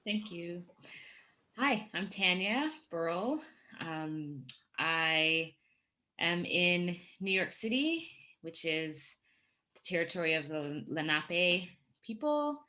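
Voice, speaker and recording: A woman with a very low voice and a bright, forward edge introduces herself on a video call panel. She takes her time and speaks slowly and reflectively. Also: sing-song. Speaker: panelist, movement director.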